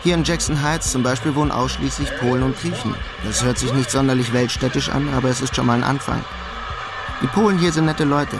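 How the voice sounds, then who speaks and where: A man plays a streetwise immigrant narrating a radio crime drama. He is laconic and dryly funny, with a mid-pitched voice. Music runs clearly behind him. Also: fairly quick, natural voice. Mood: wry, reflective. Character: wry streetwise German immigrant in Queens, narrating his life.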